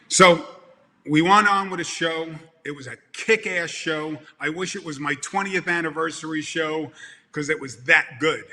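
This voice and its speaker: Brooklyn man, bright punchy voice. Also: a little high, in a large hall, slight background noise. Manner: animated, gesturing, repeats words for emphasis. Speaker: event producer speaking to a conference. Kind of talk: energetic motivational talk. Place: home room with plants and lamps, indoors. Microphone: video call webcam.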